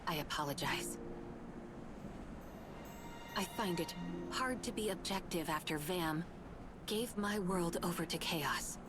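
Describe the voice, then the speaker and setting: An actress playing a solemn, guarded lone survivor in a video game cutscene, speaking with formal, measured wording. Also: female voice, on the low side. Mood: bitter grief. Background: game music.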